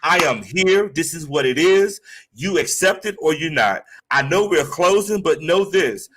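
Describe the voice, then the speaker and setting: A man's voice: fairly high pitch, animated, a little slow, background noise. A man with a stutter speaking as a guest therapist, earnest, proud and emphatic in a motivational way.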